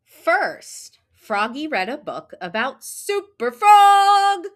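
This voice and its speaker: A woman reading a children's picture book aloud, with expressive, drawn-out storytelling and sound effects. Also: high-pitched. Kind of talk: animated children's read-aloud narration.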